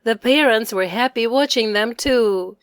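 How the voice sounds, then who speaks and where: A woman narrating a children's storybook expressively, addressing young listeners in a deliberate manner. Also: on the high side, smooth, no echo. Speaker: storybook reader.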